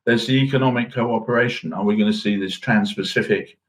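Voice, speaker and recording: A middle-aged man speaks in a fairly low voice as co-host of a current affairs podcast. He is musing and understated, feeling his way through each point in casual discussion. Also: fairly quick, fairly bright tone.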